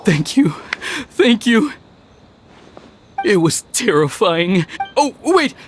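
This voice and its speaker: An actor voicing an anxious, earnest young guard in an anime video game, respectful, with halting urgency. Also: male voice, high-pitched, big pitch swings, noisy background, full character voice. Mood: shaken, urgent. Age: young adult.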